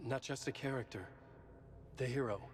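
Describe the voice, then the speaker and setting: An actor playing a tormented, guilt-ridden horror writer in a video game cutscene, brooding and urgent. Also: male voice, mid-range voice, normal speed, noisy background, lightly acted. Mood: resolute.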